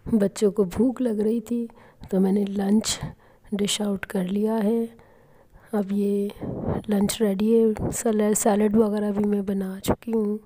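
A middle-aged woman calmly narrating her home cooking and daily routine vlog. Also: mid-range voice, slow pace. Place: home kitchen and rooms.

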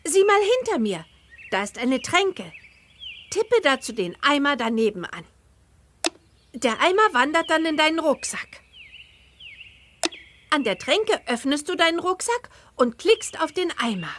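A woman's voice: high-pitched, very animated, unhurried, long pauses, fairly bright tone, a little hiss or hum, heavily acted. A woman voicing a helpful, chirpy teenage girl who gives instructions in a video game. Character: perky, helpful teenage girl witch with horses. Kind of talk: scripted video game tutorial dialogue.

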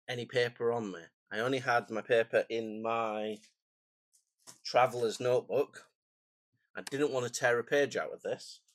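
Croaky man unboxing notebooks. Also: medium pitch, fairly bright tone, dry recording. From England. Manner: rambling, self-deprecating, chatty asides. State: croaky, phlegmy from a cold. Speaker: stationery vlogger. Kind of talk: casual unboxing chat. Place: home desk, indoors.

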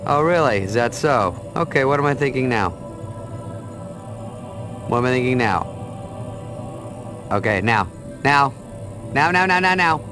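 A man's voice: mid-range voice, expressive, measured pace, pauses often, natural voice. A man playing a small, cocky, sarcastic dragonfly sidekick in a video game, with a mouthy, teasing manner. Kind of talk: comic video game cutscene acting. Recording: music behind the voice.

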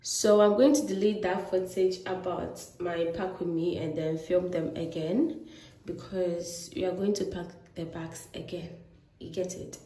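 A woman's voice: lowish voice, measured pace, breathy edge, rough recording. A young woman, chatting casually to viewers while filming herself on her phone. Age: young adult. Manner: relaxed, chatty, talks to viewers directly. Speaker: vlogger. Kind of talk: casual vlog talk to camera. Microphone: phone camera at arm's length.

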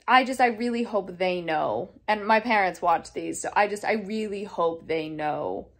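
Young woman, casual home vlog to phone camera, earnest, rambling, lots of restarts. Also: medium pitch. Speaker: daily vlogger. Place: living room at home, indoors. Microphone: phone camera held close.

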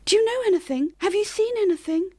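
A woman voicing a cheerful young female forest animal who gets excited and upset easily. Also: extremely high pitch, fast, voice pushed up. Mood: distressed. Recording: soft music playing behind.

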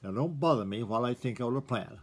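Old vixen, shrewd and matter-of-fact, male storybook reader, husky tone. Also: mid-range voice, animated, fast talker. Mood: lecturing. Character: shrewd old female fox, calm and scheming.

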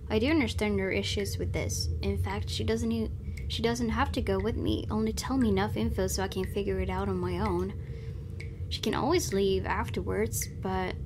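A woman's voice: medium pitch, fairly even intonation, normal speed. A woman doing a let's play, reading a visual novel's narration aloud in a dramatic way. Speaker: gaming YouTuber. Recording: noisy, game ambience behind voice.

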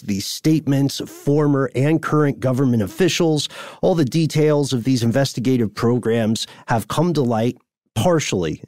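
A man's voice: medium pitch, a little mellow, no echo, studio quality. A man co-hosting a podcast, chatting casually and wryly, digressing and correcting himself with small laughs. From United States. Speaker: podcast co-host. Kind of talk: casual conversational podcast talk.

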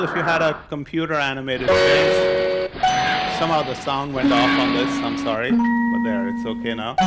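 An older man giving an informal explanatory lecture, talking conversationally and laying things out step by step. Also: on the high side. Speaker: mathematician and novelist giving a public lecture. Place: indoor lecture hall, on stage.

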